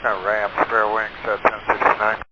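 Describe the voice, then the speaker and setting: A man's voice: on the low side, monotone, normal speed, loud music behind the voice. A man, a pilot talking casually and a little hesitantly over aviation radio. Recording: noisy muffled aviation radio.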